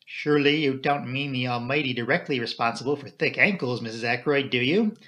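A man voicing a lively, tactful young male secretary in an audiobook, polite and well-spoken. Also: mid-range voice, a little fast, lightly acted. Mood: teasing.

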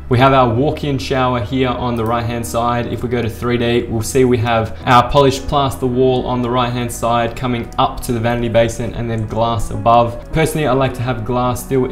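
A man's voice: medium pitch, level tone, moderate pace, few pauses. Young man, tutorial host, roomy echo, faint music underneath. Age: young adult. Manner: explanatory, gestures while talking at desk. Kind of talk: software design tutorial. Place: small room at a desk. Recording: echoey room with faint music.

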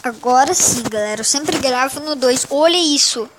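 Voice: high-pitched, normal speed, noisy background. A child hosting a casual mobile game commentary, sounding congested with a cold. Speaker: gaming channel host.

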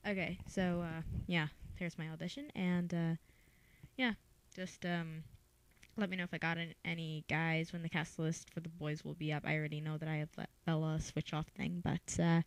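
A woman's voice: low voice, flat delivery, normal speed, clear, resonant tone, dry recording. A woman talking casually and hesitantly, keeping her voice down, as she introduces an amateur voice audition. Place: bedroom.

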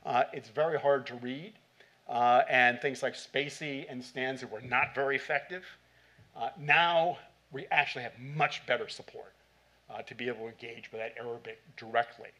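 A man's voice: medium pitch, fairly even intonation, moderate pace, pushed and bright. Man presenting at a conference. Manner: talks in short bursts with many "uh" fillers. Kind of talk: conference talk and Q&A. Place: conference hall stage. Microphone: podium mic.

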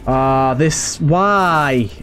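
A man's voice: fairly high pitch, extremely slow, fairly bright tone. A man giving casual let's play commentary in an anxious, exasperated way, often repeating himself. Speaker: gaming YouTuber and host. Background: game music.

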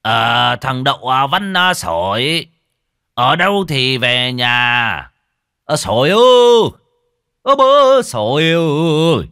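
Man doing a quick-tempered old shaman for a spoken tale: loud, raised voice, dry close mic. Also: lowish voice, very animated, dry recording, exaggerated voice. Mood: calling out. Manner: barking orders, loud and gruff. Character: bossy older male village shaman, quick-tempered.

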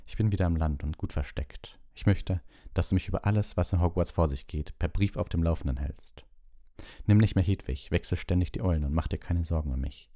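A man voicing a protective man in hiding, calmly giving instructions as a letter read in an audiobook. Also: low voice, brisk, close to an everyday voice. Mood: reassuring.

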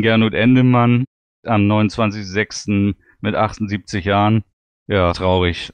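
Clear, lower-voiced man on a podcast. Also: no room sound, dull audio. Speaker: podcast panelist. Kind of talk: casual panel talk, reminiscing.

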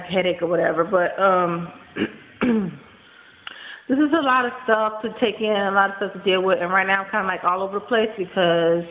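A tired woman in her thirties with a naturally nasal voice, made stuffier by a cold, rambles quickly through a casual vlog full of ums and likes. She sits close to a built-in webcam mic in a small room at home. The sound is dull and lo-fi, with a faint hiss underneath. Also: lowish voice. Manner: rambling, many ums and likes, talks fast. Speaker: vlogger.

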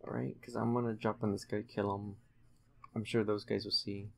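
A young man giving casual, halting, low-key commentary while concentrating on playing a video game. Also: little pitch movement, vocal fry. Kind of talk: casual let's-play commentary.

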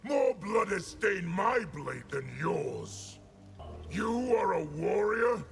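Gravelly, scornful horned demon warrior. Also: male voice, sing-song, slow, faint music behind, heavily acted. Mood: scornful, furious. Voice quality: gravelly, roaring. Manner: scornful, booming. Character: huge horned demon warrior, male, arrogant and brutal. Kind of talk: video game villain dialogue.

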